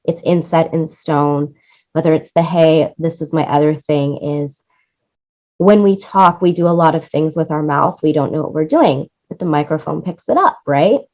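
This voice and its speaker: A woman's voice: sits low, moderate pace, highs cut off. A woman being interviewed about her audio production work, explaining casually and animatedly, often checking for agreement. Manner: animated explaining, acts out quoted lines, seeks agreement with "right?". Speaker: interview guest, music supervisor and audio producer.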